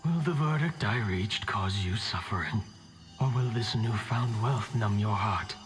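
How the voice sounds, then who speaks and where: An actor playing a solemn, coldly philosophical scholar in a game, speaking formally and gravely with a breathy voice. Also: male voice, fairly low pitch, a little slow, lightly acted. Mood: solemn, expectant. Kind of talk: dramatic game voice acting.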